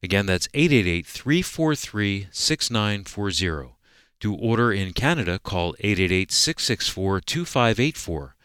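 Deep smooth male radio announcer. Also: sing-song, a bit bright, no echo, clean studio sound. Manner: measured, slows down for phone numbers. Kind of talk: read promotional announcement.

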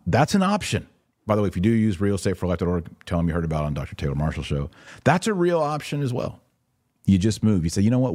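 Male podcast commentator: very low, mellow voice, conversational but emphatic delivery. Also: no echo, studio quality. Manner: conversational, emphatic, acts out imagined exchanges. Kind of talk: casual religious commentary, answering listener questions.

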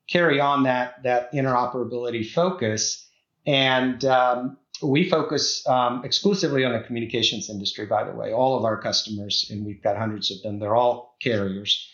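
Middle-aged man, guest interviewee, halting speech full of fillers, roomy echo, dull lo-fi sound. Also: mid-range voice, a bit bright. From Czech Republic. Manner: hesitant, many fillers and restarts. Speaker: guest executive. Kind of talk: podcast interview.

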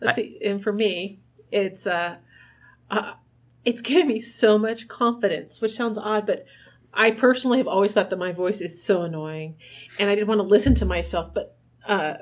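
A woman's voice: mid-range voice, moderate pace, highs cut off. A woman podcast host chatting in a self-deprecating, giggly way. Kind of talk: casual chat.

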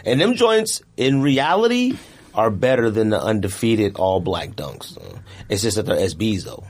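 Animated, slangy man podcasting. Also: lowish voice, moderate pace. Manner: slangy, teasing. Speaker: sneaker podcast co-host. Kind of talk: casual podcast banter.

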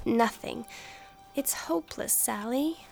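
High-voiced, creaky, anxious young wife. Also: female voice, slow, faint music behind. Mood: hopeless, then hesitant. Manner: emotional, sighing, breathy hushed moments. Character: earnest, anxious young newlywed wife, devoted and stubborn. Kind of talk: stage-style radio drama acting.

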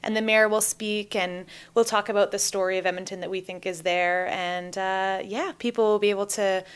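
A woman's voice: mid-range voice, fairly even intonation, normal speed, clear, resonant tone. A Canadian woman guest chatting casually and eagerly about a community project, laughing easily. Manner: upbeat, talkative, laughs easily. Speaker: guest, taskforce co-lead. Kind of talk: casual podcast chat.

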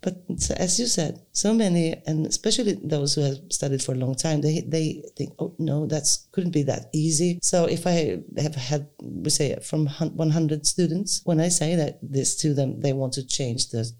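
A middle-aged woman, a singer and voice teacher, talking casually in English with thoughtful, halting phrasing and frequent restarts. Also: deep voice, warm tone. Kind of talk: casual conversation.

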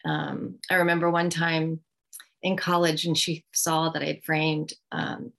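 Middle-aged woman author, reflective interview, deep fry in the voice, hesitant. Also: little pitch movement, fairly bright tone. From United States. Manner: thoughtful, halting, many ums. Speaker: author guest.

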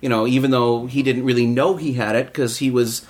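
A man's voice: mid-range voice, fairly even intonation, a little fast. A man in a casual group discussion, talking in a rambling way and often circling back over his words. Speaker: voice actor on a round-table.

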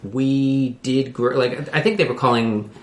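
A man in his late thirties hosting a casual TV recap podcast, talking haltingly as he searches for his words. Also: medium pitch, reverberant. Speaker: podcast host.

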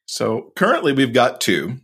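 A man's voice: mid-range voice, sing-song, a little slow, a bit bright, husky. A man giving a casual interview as a podcast guest, explaining things in an amiable way. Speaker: podcast guest, author and co-host.

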